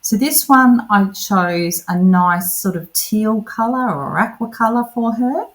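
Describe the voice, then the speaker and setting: A woman's voice: mid-range voice, expressive, unhurried. A woman in her thirties or forties, a craft vlogger, chatting casually through a voiceover as she works. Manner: chatty, addresses viewers as "lovelies".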